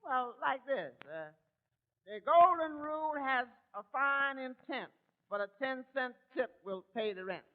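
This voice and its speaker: An actor playing a droll, shrewd tavern waiter with a sly, wry manner in 1940s radio comedy. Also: male voice, very high voice, animated, unhurried, full character voice. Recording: dull, noisy 1940s radio recording.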